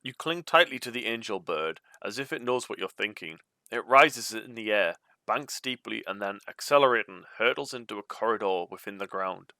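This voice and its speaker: A man's voice: lowish voice, expressive, moderate pace, pushed and bright, dry recording, studio quality. A man hosting a read-aloud of a gamebook, moving between storybook narration and informal, chatty commentary. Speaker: host reading a gamebook aloud.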